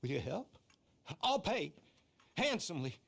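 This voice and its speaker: A man's voice: a little high, big pitch swings, a little slow, creaky, fairly bright tone, slightly rough, exaggerated voice. An actor playing a flustered, pleading middle-aged husband in a game cutscene, stammering as he talks. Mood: flustered, grateful. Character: pleading, flustered older husband of a runaway wife. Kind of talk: video game cutscene acting.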